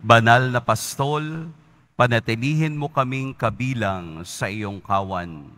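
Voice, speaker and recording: A man serving as priest, giving a homily and leading the mass prayers in a slow, measured way. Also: medium pitch, a bit bright.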